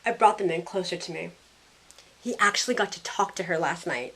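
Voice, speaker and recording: A woman's voice: lowish voice, fairly quick, fairly bright tone. A young woman playing a sassy, loyal modern heroine, narrating in the first person in a wry, conversational way. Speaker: narrator and lead character. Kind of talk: first-person story narration with in-character dialogue.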